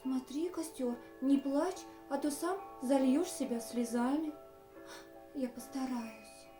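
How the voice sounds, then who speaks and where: A woman voicing a gentle, wistful female duck in a sighing, exclamatory storytelling manner. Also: high-pitched, takes it slowly. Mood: soothing. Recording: roomy echo, faint music.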